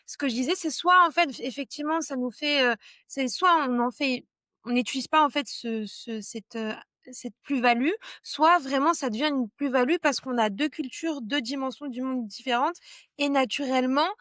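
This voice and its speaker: A woman's voice: sits high, moderate pace, bright, forceful tone, no room sound, studio quality. A French woman hosting a podcast interview, talking in a thoughtful, hesitant way with bits of English. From France. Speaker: podcast host. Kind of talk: casual interview conversation.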